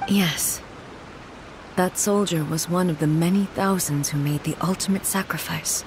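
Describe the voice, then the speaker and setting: A woman playing a regal, immortal shogun in a video game, formal and measured, grieving yet composed. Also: fairly low pitch, noisy background, natural voice. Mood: grave.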